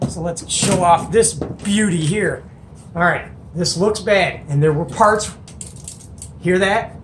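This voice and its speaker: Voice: medium pitch, slow pace. A host doing a casual hands-on show and tell, enthusiastic and colloquial, with folksy exclamations. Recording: echoey room with faint noise.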